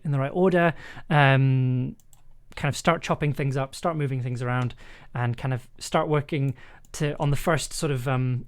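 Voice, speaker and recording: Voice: mid-range voice, smooth. A streamer editing audio live, talking casually and hesitantly, half to themselves. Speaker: streamer and audio drama editor.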